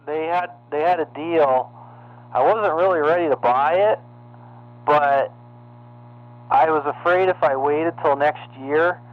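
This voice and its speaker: A man chatting casually over CB radio in a halting, self-deprecating way. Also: on the high side. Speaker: CB radio operator. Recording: dull CB radio signal with hum.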